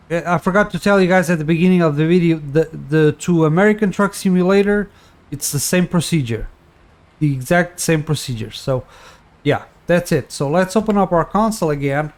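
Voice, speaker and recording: A man whose first language is Portuguese, casually talking through a game tutorial with many fillers and restarts. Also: a little high. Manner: relaxed, rambling, frequent fillers and restarts. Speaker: tutorial host.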